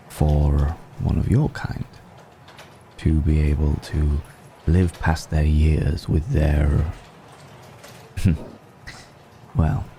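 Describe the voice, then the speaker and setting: A man voicing a suave, menacing vampire noble in an intimate ASMR roleplay, speaking in a genteel, teasing way with drawn-out pauses. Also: a bass voice, warm tone, a little hiss or hum, exaggerated voice. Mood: playful, sly. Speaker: voice actor.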